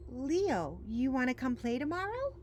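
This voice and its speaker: A woman voicing a boisterous young male lightning bug who calls out loudly in a storybook reading. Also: sits high, very animated, unhurried, a little hiss or hum, pitched above the performer's own voice. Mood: friendly. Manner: loud, calling out.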